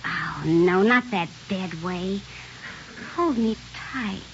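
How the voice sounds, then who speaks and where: An actress playing a brassy, flirtatious barroom floozy in a 1940s radio drama, with a coaxing, slangy way of talking. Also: female voice, mid-range voice, takes it slowly, slight background noise, lightly acted. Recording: dull old radio broadcast recording.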